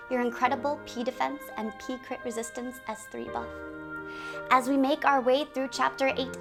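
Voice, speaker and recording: A woman with a fairly high voice presents a community video series about a game. She is animated and giggly and talks straight to her viewers, mixing scripted lines with asides. Faint music plays behind her. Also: creaky.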